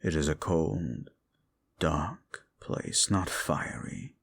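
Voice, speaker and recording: A man doing a gravelly, bitter fallen demon. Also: a bass voice, animated, drawn-out words, heavily acted. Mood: somber. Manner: contemptuous, swings from hushed to shouting. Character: bitter ancient fallen demon, harsh and scornful. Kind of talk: audio drama roleplay to a listener.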